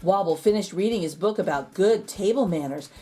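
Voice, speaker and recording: A woman storyteller reading a children's picture book aloud in an expressive narrating style. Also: on the low side, fairly quick, slightly rough, faint music behind.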